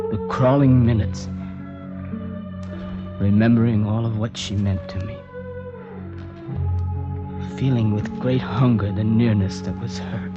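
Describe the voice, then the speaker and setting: A desperate, brooding poet in an old radio drama. His voice sits low, and he speaks with literary intensity, with music behind him. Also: muffled recording. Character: desperate man nicknamed Poet, anguished, pleading for a kidnapped woman. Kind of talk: 1950s radio drama acting, brooding first-person narration.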